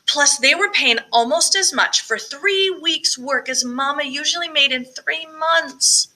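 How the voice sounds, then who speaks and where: A woman's voice: sits high, a little slow, bright, forceful tone. A woman reading a first-person story aloud with expression, as an audiobook narrator. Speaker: audiobook reader, choir director.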